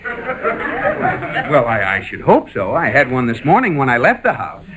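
An actor playing a bewildered, indignant husband in 1940s radio comedy, incredulous and exasperated. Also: male voice, medium pitch, wildly swooping pitch, measured pace, over a noisy crowd, low-quality recording. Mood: baffled. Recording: old radio recording, faint noise.

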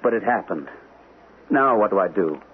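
An actor playing an earnest, troubled army captain in an old-time radio mystery, on a hissy narrow-band broadcast. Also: male voice, sits low, expressive, normal speed. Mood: shaken, insistent. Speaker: radio actor, also narrating in character.

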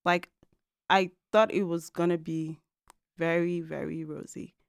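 A Nigerian woman in her thirties telling her story in a casual podcast chat, animated and laughing often. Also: lowish voice, measured pace, vocal fry, clear, resonant tone, no echo, studio quality. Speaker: podcast co-host and guest. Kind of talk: casual conversational interview.

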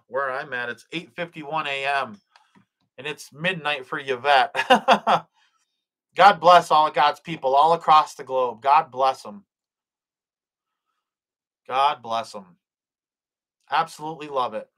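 Guy doing a late-night faith livestream, kinda high voice, lots of uhs and god bless yous. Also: pushed and bright. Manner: reads chat aloud, blesses viewers, prays aloud; hesitant, many fillers and restarts. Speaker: live stream host. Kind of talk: casual live stream chat with prayers.

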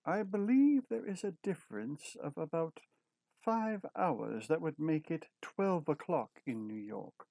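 A man's voice: a little high, expressive, a little slow, gravelly, mellow, dry recording, heavily acted. A man acting a prim, flustered hotel desk clerk in a radio play, deferential and fussy. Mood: stiffly deferential. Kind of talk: old-time radio drama acting.

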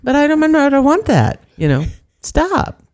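A woman's voice: sits high, huge pitch swings, a little slow, darkish tone, no room sound, studio quality. A young adult woman, a youth advocate guest in a casual interview, talking earnestly and at length. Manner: earnest and talkative.

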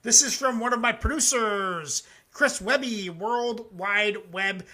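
A man with a high, bright voice hosts a live music reaction stream. He talks loosely and profanely, packed with fillers, and now and then reads out his own joking poems. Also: slow pace. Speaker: host of a music reaction show. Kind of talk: casual live-stream chat.

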